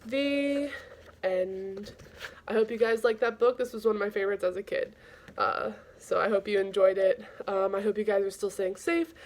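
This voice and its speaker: A woman reading aloud to children in an informal, expressive storytelling style. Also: medium pitch, moderate pace.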